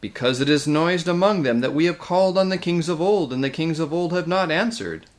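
A man's voice: a little high, fairly quick, pitched above the performer's own voice, close to an everyday voice. A man voicing a loyal, steadfast talking badger with a thick voice, earnest and firm, in a storybook. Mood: earnest, accusing.

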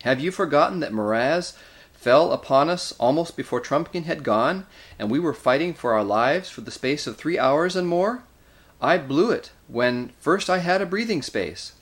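Guy voicing young king in a storybook, firm n fed up, lively pitch. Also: moderate pace, natural voice. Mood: defensive.

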